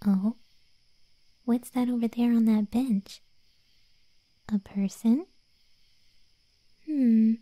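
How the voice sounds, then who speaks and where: A woman voicing a cocky, cheeky undead cat girl in a soft, breathy, slangy ASMR roleplay. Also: on the high side, steady tone, extremely slow, pauses often, no echo. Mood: sly musing. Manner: soft and breathy, often near whispering, slangy, teasing.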